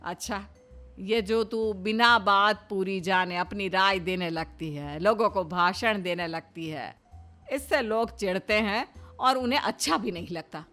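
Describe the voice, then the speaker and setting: A woman playing an exasperated middle-aged mother-in-law who chides bluntly in an animated family comedy. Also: mid-range voice, unhurried, faint music behind. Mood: chiding. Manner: chiding, plain-spoken advice. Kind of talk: animated Hindi family comedy story acting.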